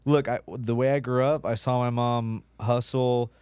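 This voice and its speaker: A man's voice: medium pitch, fairly even intonation, a little slow, a little mellow, clean tone, no echo. A man in his thirties, a podcast guest whose first language is Spanish, talking casually with lots of likes and you-knows. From United States, Mexican immigrant mother.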